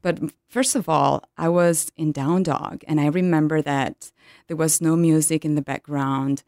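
A woman in her thirties from Italy with an Italian accent, a yoga teacher telling her story in an interview, pausing often to find words in English. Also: low-pitched, fairly even intonation, darkish tone, no echo, studio quality. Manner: hesitant, searching for English words with many ums.

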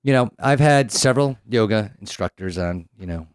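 A man's voice: fairly low pitch, animated, normal speed, smooth, no echo, clean studio sound. A man hosting an interview, drawing out his guest with encouraging mm-hmms and loose, restarting questions. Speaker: podcast host. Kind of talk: interview host.

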